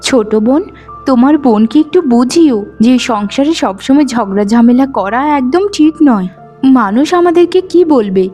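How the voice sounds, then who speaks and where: Woman acting a high-pitched, gentle Bengali cartoon housewife. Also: expressive, moderate pace, natural voice. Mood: patient, pleading. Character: patient, kind elder daughter-in-law and soft-spoken mother-in-law. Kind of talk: cartoon moral-story dialogue. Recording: music under the voice.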